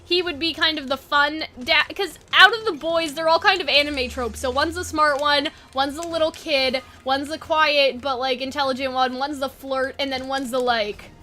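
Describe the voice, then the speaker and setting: A woman with a high, bright, forward voice talks casually as an online host. She rambles informally through her ideas without a script, like someone brainstorming out loud with friends. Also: fairly even intonation, normal speed, hardly any pauses. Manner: rambling, informal, occasionally sweary. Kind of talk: casual unscripted brainstorming chat.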